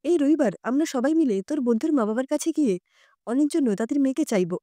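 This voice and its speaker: Casting: female narrator as elderly storybook grandmother, affectionate yet plain-spoken, high and mellow. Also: lively intonation, fast talker, dry recording. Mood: firm, wise. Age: older. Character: kindly, firm old grandmother, wise and teasing.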